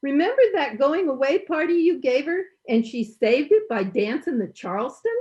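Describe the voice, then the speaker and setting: An actress playing a sassy, good-humored housekeeper with folksy wisecracks in an old-time radio comedy, slightly muffled. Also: female voice, sits high, big pitch swings, normal speed, mellow. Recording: slightly muffled online-call sound.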